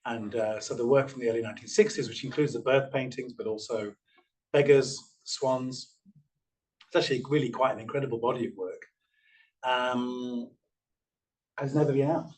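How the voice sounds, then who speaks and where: A man's voice: mid-range voice, moderate pace, pauses often, darkish tone, far from the mic, low-quality recording. A man speaking on an art panel, hesitant with frequent restarts and fillers. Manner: hesitant, many restarts and ums. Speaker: panel speaker from the artist's studio. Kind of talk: discussion panel remarks.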